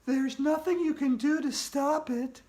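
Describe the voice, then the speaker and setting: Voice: high-pitched, steady tone, measured pace, a little mellow, pitched above the performer's own voice. A reader doing an eerie gloating ghost girl. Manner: gloating, taunting.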